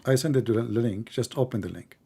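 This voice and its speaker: A man's voice: medium pitch, quick pace, vocal fry, warm tone, husky. A man whose first language is Arabic, hosting a call-in show and pressing callers with repeated, insistent questions in non-native English. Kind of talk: heated call-in debate.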